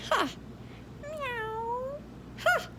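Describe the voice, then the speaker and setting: A woman's voice: very high-pitched, extremely slow, noisy background, voice pushed up, exaggerated voice. A woman voicing a smug cat that meows and sneers at a tortoise. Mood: sneering. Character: smug meowing cat in a tree.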